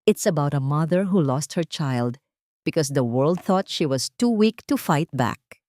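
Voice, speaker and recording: Low-voiced wounded, grieving but resolute mother, acted by a woman. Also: expressive, a little slow, warm tone, dry recording, studio quality. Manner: weak-voiced, pained but determined. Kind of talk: melodramatic audio drama dialogue.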